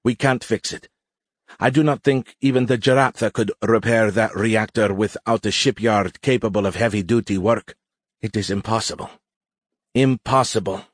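A man's voice: lowish voice, moderate pace, no room sound, close to an everyday voice. A man voicing a weary, blunt young female alien cadet in an audiobook, speaking formally and with emphatic insistence. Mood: exhausted, adamant.

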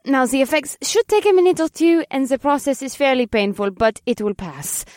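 Woman acting a high-pitched, perky witch professor. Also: expressive, fairly quick, dry recording, studio quality. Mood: instructive. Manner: bright, encouraging, polite. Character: perky silver-haired witch professor, eager and poised. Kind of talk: audiobook character dialogue.

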